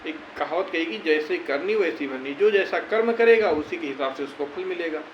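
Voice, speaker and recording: High-pitched man, roomy sound. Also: expressive, moderate pace. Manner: repetitive, reassuring, advising. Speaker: YouTuber giving recruitment court-case updates. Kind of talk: informal explanatory update to viewers. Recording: roomy, dull audio with faint noise.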